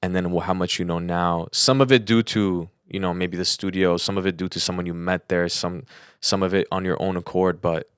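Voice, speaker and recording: A man's voice: sits low, steady tone, a little fast, clean tone, no room sound, clean studio sound. A man talking casually and at length as a podcast co-host, in a chatty, rambling storytelling way. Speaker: podcast co-host, audio engineer.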